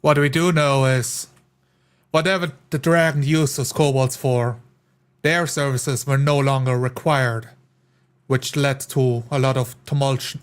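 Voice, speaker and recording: Man playing a grave, slow-spoken adventurer. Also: mid-range voice, lightly acted. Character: grave, bold male adventurer.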